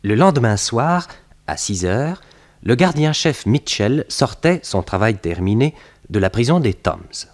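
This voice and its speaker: An actor playing a wry narrator in a scripted 1960s French radio drama, telling a detective relative's exploits as a storyteller. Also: male voice, mid-range voice, very animated, measured pace, soft background music. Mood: storytelling. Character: nephew narrating his detective uncle's exploits.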